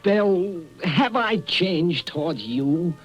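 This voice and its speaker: Insistent proud fiancé, lively intonation, defensive, old radio drama, dull sound, faint music. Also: male voice, high-pitched, takes it slowly, breathy edge, full character voice. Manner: insistent rhetorical questioning. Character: defensive, proud man in his prime, a greedy fiancé. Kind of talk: 1930s radio drama acting. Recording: dull vintage 1930s radio recording.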